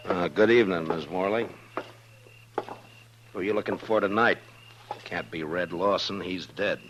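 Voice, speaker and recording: Casting: male actor for deep, deliberate, weary frontier lawman, grave and sparing with words, old radio drama. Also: close to an everyday voice. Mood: guarded. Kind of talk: 1950s radio Western acting. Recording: noisy old radio recording.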